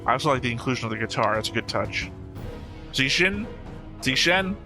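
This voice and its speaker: A man's voice: medium pitch, animated, a little fast, music in the background. A man hosting a reaction show, talking casually and argumentatively with frequent swearing. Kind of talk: casual discussion, reacting to an animated series.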